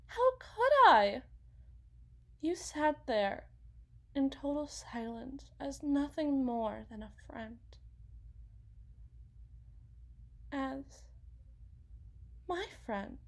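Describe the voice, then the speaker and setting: A woman performs an anguished mother in an intimate ASMR roleplay monologue. Her voice is notably high and she speaks slowly, in halting phrases. She confesses her guilt to a friend with dramatic feeling. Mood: defensive, hesitant.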